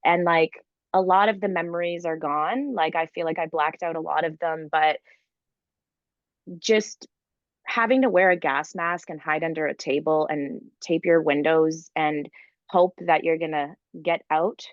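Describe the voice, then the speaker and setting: A woman's voice: sits low, fairly even intonation, dry recording, highs cut off. A woman from Ukraine, in her thirties, talking casually and eagerly as an interview guest, with many "like"s and fillers. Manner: fast, filler-heavy, enthusiastic with many "like"s. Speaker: guest entrepreneur. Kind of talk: conversational interview.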